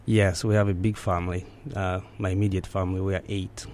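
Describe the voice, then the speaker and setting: A man's voice: sits low, level tone, brisk, a little mellow. A man from Eastern Congo with a Central African accent, recounting personal memories as an interview guest.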